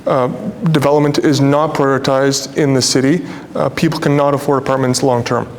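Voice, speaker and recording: A man's voice: little pitch movement, brisk. North American man in an echoey hall. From Toronto, Canada. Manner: earnest, colloquial. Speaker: real estate developer on a panel. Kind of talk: informal panel discussion remarks. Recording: echoey hall, noisy with low rumble.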